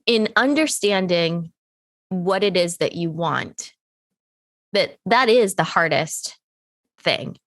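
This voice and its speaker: A woman's voice: mid-range voice, a bit bright, no room sound. A woman hosting a podcast interview who has a slight cold, talking in an earnest, encouraging way with long, winding sentences. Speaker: podcast host. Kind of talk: casual interview chat.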